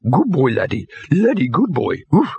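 Eager, dim-witted, high-pitched dog. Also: male voice, wildly swooping pitch, moderate pace, gravelly, mellow, husky, no room sound, dull audio, heavily acted. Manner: yappy, repetitive, simple-minded. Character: dim, eager, obedient male dog, barking simple words. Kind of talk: comic fantasy audiobook character.

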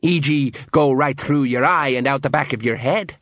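A man's voice: medium pitch, moderate pace, a bit bright, no room sound, close to an everyday voice. A man voicing a cautious, plain-spoken watch sergeant with a hesitant, worried manner, in an audiobook fantasy story. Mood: doubtful, worried.

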